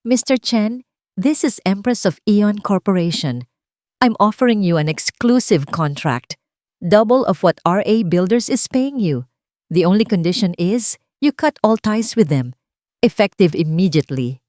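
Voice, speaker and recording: A woman narrator voicing a cold, vengeful wealthy businesswoman in an audio story, deliberate and commanding. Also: mid-range voice, animated, darkish tone, no echo, studio quality, close to an everyday voice. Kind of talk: dramatized audio story dialogue.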